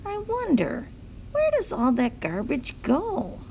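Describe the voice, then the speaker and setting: A woman voicing an eager, curious, easily worried pet dog in a children's picture book read-aloud, expressive and full of wondering. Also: sits high, slow pace, creaky, slight background noise, voice pushed up, heavily acted.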